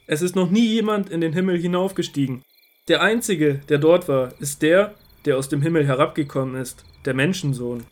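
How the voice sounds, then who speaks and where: An actor playing an earnest, authoritative male teacher in a scripture audio play, speaking in measured, emphatic declarations. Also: on the high side, natural voice. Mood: solemn, emphatic.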